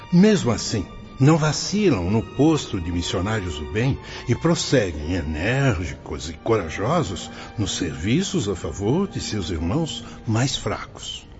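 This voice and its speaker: An actor playing a grave spirit friar in a radio serial, explaining with solemn, declamatory fervour. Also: male voice, fairly low pitch. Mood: fervent, sorrowful. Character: grave, fervent male spirit friar guiding visitors. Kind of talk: dramatic radio play acting. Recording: dull lo-fi audio under loud music.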